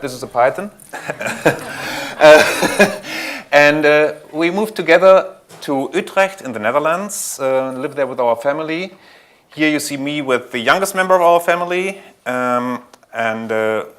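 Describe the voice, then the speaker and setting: A man from Germany with a German accent, presenting a technical conference talk in an earnest, explanatory way, pausing often to correct himself. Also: mid-range voice, fairly bright tone. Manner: earnest, explanatory, with frequent ums and self-corrections. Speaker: conference speaker.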